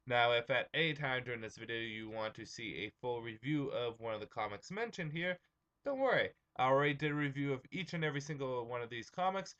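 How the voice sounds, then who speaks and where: A young man hosts his own comic review vlog in a bright, forward voice that has gone slightly hoarse. He chats casually and loosely, filling pauses with ums and uhs. The sound is a little dull, like a lo-fi home recording. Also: medium pitch, animated. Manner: chatty, many ums and uhs, restarts.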